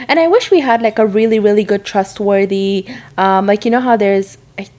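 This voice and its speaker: A woman in her thirties co-hosting a podcast, chatting casually in quick, animated bursts with frequent laughs. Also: medium pitch. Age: 30s. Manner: fast, animated, laughing storyteller. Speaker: podcast co-host. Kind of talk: casual chat.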